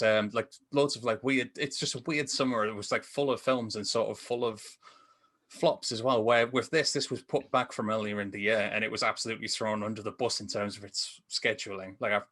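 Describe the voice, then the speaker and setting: A man's voice: fairly low pitch, pushed and bright. A man in his thirties casually reviewing films in a rambling, enthusiastic way over a headset. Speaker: podcast film reviewer. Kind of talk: casual film discussion. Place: indoor room at home, on a video call.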